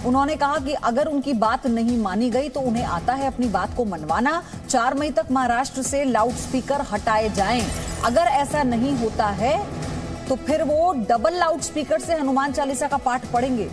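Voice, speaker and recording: A woman anchors a news broadcast in a high-pitched voice. She delivers it as broadcast news presentation, with prominent music playing behind her. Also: moderate pace.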